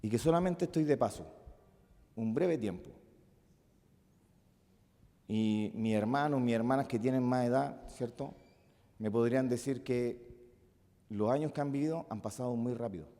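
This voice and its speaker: A male pastor with a Chilean accent preaching a sermon, moving between loud emphatic peaks and soft, musing asides. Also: medium pitch, moderate pace, pauses often. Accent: Chilean Spanish. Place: church hall. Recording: long reverb of a church hall.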